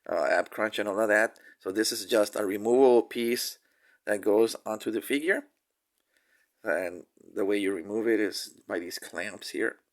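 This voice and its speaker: Casual reviewer, male, slightly low voice, stuffy from a cold, lots of "uh" and restarts. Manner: halting, many fillers and restarts. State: nasal from a cold. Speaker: toy reviewer.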